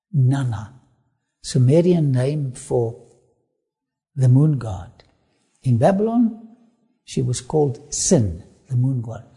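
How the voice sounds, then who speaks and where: Afrikaans-accented guy narrating a documentary, slow and emphatic, dark husky voice. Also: on the high side. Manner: slow, emphatic, drawn-out phrasing. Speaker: documentary presenter. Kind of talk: travel documentary narration with sermon-like asides.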